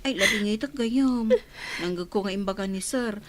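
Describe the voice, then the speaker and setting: An actress playing a housemaid's concerned, excitable friend in a radio drama, full of questions, with an Ilocano accent. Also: female voice, mid-range voice, moderate pace, lightly acted. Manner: exclamatory, questioning.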